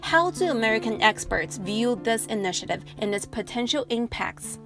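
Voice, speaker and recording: A woman reporter narrating a news feature in a clear, measured delivery and putting questions to interviewees. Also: fairly high pitch, animated. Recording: voice-over with music underneath.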